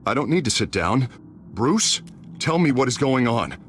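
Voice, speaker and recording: A man's voice: mid-range voice, big pitch swings, moderate pace, background noise, natural voice. An actor playing an unstable man with a split personality in a video game, swinging between hurt pleading and menacing snarls. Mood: outraged, hurt. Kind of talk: dramatic video game voice acting.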